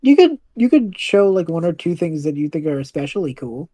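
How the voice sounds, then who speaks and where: A woman's voice: on the low side, sing-song, fairly quick, warm tone, no room sound. A woman speaking casually as a player in an improvised tabletop roleplaying game.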